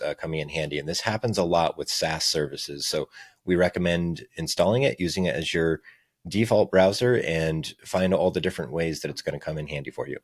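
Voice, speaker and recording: A man's voice: rumbling low, no echo, studio quality. A man narrating a casual screencast tutorial as the presenter, explaining things step by step. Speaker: software demo presenter.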